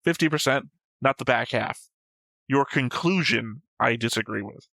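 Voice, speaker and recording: Man, bright forceful voice, creaky edge, precise and argumentative, casual discussion. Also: medium pitch, normal speed, no room sound. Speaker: reaction show host. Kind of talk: casual debate about an animated series.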